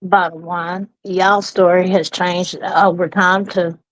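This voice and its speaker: A woman's voice: lowish voice, flat delivery, slow, a little hiss or hum, poor audio. A woman panelist giving her opinion in casual, blunt and salty panel chat.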